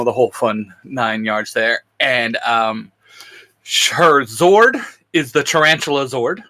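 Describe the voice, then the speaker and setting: A man co-hosting in casual chat, talking haltingly and often correcting himself. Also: medium pitch, bright, forceful tone. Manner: hesitant, halting, self-correcting. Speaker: co-host.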